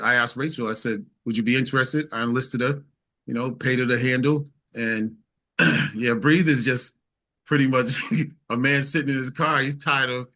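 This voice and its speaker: A man's voice: mid-range voice, sharp tone. A man speaking as an interview guest and singer-songwriter, telling stories in casual, informal, easygoing chat.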